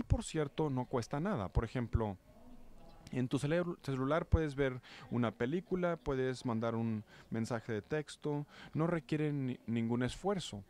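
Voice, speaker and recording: A man working as a live interpreter of a talk, phrasing haltingly and correcting himself. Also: medium pitch, darkish tone. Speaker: simultaneous interpreter.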